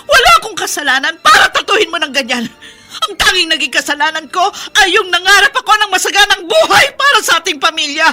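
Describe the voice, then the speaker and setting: A woman's voice: extremely high pitch, very animated, fast talker, pushed and bright, faint music behind, exaggerated voice. A woman playing a desperate young wife and mother in a radio drama, pleading and emotional. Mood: anguished.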